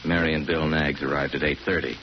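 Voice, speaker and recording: A man narrating a 1940s radio drama in a wry, conversational and deliberate way. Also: a bass voice, little pitch movement. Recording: muffled 1941 radio recording, faint noise.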